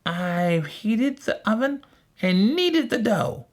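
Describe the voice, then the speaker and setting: A storyteller voicing a wicked old witch from a fairy tale, scolding and bossy, with shrill, drawn-out commands. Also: big pitch swings. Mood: sly, impatient.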